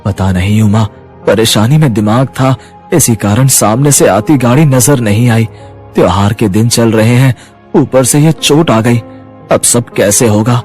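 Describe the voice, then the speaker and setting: A man's voice: medium pitch, a little slow, darkish tone, soft background music, close to an everyday voice. A man voicing a worried poor toy seller. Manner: anxious, pleading. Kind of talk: cartoon voice acting.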